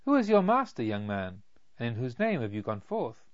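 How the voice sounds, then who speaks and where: A man's voice: mid-range voice, huge pitch swings, normal speed, no echo, muffled recording. A man voicing an aged, benevolent, self-satisfied Brahmin teacher with a lofty, didactic manner, in a storybook reading. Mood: questioning.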